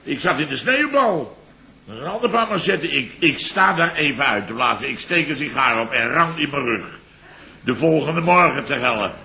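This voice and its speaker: A man's voice: fairly high pitch, animated, normal speed, vocal fry, sharp tone, heavily acted. A man playing a blustering, irritable middle-aged boss in a 1960s radio play who keeps protesting and grumbling. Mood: indignant. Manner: blustering, indignant, exclaims "te helle". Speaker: the boss ("chef"). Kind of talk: 1960s radio drama acting. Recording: dull lo-fi old radio recording with faint hiss.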